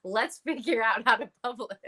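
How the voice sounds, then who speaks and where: A woman who is a publisher and coach, speaking as a guest on a casual podcast in an energetic, earnest way and laughing often. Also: a little high, moderate pace. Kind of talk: casual interview chat.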